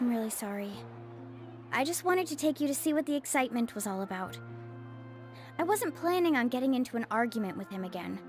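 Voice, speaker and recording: An actress playing an earnest, upbeat young guide in a video game, sincere and conversational. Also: female voice, fairly high pitch, expressive, fairly quick, a little mellow, soft background music, natural voice. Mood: apologetic. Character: earnest, upbeat young female guide, loyal to her teacher.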